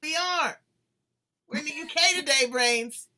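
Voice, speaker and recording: A woman from the United States hosting an interview show, chatting in an animated, playful way. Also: sits high, a little slow. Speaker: podcast host. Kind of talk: casual interview chat.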